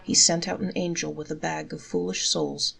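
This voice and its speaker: A woman reading folktales aloud in a measured, articulate storybook narration. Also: low voice, level tone, gravelly, a bit bright, breathy edge, faint music behind.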